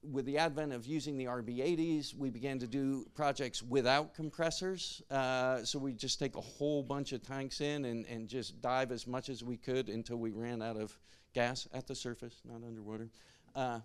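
A man presenting at a conference, talking through slides in a relaxed way with many fillers and restarts. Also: mid-range voice, clear, resonant tone. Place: conference stage.

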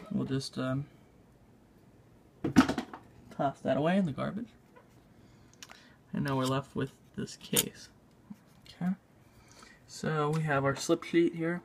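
A man narrating a casual hands-on tutorial in a halting, unscripted way, thinking aloud as he works. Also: medium pitch, vocal fry, a little hiss or hum. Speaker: video maker demonstrating a repair. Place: indoors at a tabletop.

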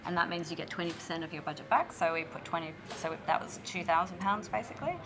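A woman, a film producer giving measured, matter-of-fact interview answers. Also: fairly low pitch, background noise. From Tasmania, Australia. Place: seated indoors in a room with a leather sofa. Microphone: lapel mic.

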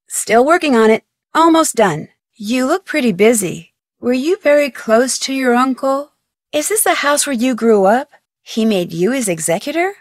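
A woman's voice: fairly high pitch, dry recording. An actress playing a curious young female amateur detective in a studio video game, asking polite probing questions. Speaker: game protagonist.

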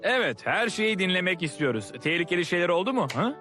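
An actor playing a curious, eager young listener in a cartoon dub. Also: male voice, high-pitched, very animated, quick pace, music in the background. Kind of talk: animated educational cartoon dub.

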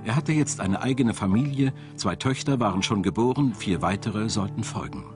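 A man reading scripted documentary narration in a measured, storytelling manner. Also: lowish voice, lively intonation. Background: music.

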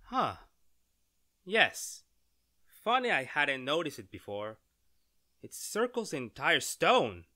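A man playing an excitable, skeptical scientist in a retro radio drama, melodramatic and halting, increasingly astounded. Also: on the high side, full character voice. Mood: absorbed, curious.

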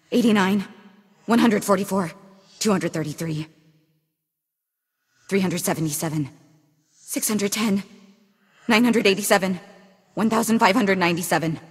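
Husky, entranced game heroine. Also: female voice, medium pitch. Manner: measured, methodical recitation. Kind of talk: video game character acting. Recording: long reverberant tail.

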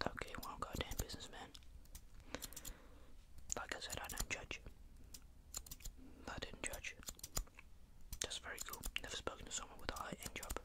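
A breathy, unvoiced whisper in an ASMR barbershop roleplay, with a person playing a barber making casual, rambling small talk with a customer. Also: moderate pace, pauses often.

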